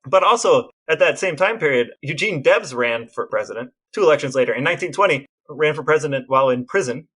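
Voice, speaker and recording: A man co-hosting a podcast, telling historical anecdotes in a casual, animated storytelling manner. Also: a little high, fast, few pauses, breathy edge. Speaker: podcast co-host.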